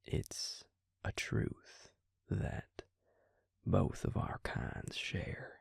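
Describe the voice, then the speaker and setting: A man playing a patient, grave vampire in an intimate audio roleplay, speaking husky, breathy and low, with hesitant, deliberate pauses. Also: dry recording, in a whisper, clean studio sound. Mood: grave, explaining. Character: male vampire, patient, grave, quietly explaining lore.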